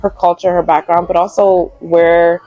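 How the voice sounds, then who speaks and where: A woman's voice: lowish voice, little pitch movement, normal speed, mellow, no room sound, dull audio, soft background music. A young woman of Guyanese heritage, a magazine founder, chatting casually as an interview guest in a cafe, full of "like" fillers. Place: cafe and lounge.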